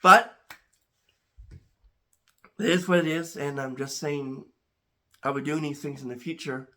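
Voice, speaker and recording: A man hosting a home chemistry channel talks casually to camera, a little congested, halting with many restarts and repeated words. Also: a little high, pushed and bright.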